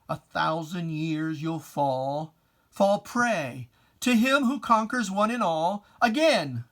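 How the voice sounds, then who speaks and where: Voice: medium pitch, a bit bright. A person giving a dramatic reading of religious verse in a solemn, declamatory and emphatic manner with archaic diction. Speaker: poetry reciter.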